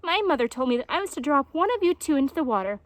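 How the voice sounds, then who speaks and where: A woman's voice: high-pitched, sing-song, racing, no echo, voice pushed up. A woman voicing an earnest, easily puzzled young jaguar in a children's storybook, with an emphatic, childlike, petulant manner. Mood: muddled, exasperated.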